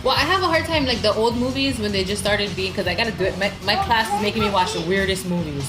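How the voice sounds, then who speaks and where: Young Hawaiian woman, animated podcast co-host, quick and lively, echoey room with music. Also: mid-range voice. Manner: animated, laughs often. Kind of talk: casual chat.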